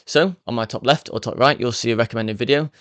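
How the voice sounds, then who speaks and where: Guy running a language learning channel, talks quick and upbeat, dry close sound. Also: medium pitch, fairly bright tone, no room sound. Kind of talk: explainer talking to camera.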